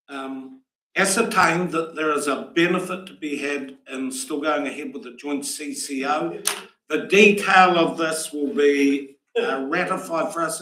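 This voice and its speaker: A man's voice: a little high, pushed and bright, roomy sound, rough recording. A man chairing a council meeting, firm and orderly, directing questions to the room. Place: council chamber.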